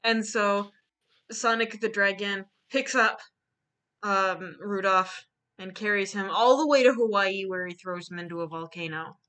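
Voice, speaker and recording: A woman's voice: medium pitch, unhurried, sharp tone, clear, resonant tone. A woman chatting casually as she hosts and retells a silly story, playfully acting out the quoted lines. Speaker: host of a live online dramatic reading and drawing stream.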